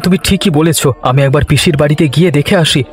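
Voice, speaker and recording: A man voicing an excitable, earnest village husband and nephew in cartoon dialogue. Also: on the high side, fast talker, music in the background, close to an everyday voice. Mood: alarmed.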